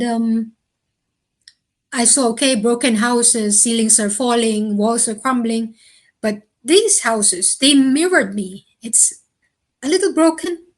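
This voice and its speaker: A woman answering interview questions in a thoughtful, earnest way, with slow, deliberate phrasing. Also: on the high side. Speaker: interview guest.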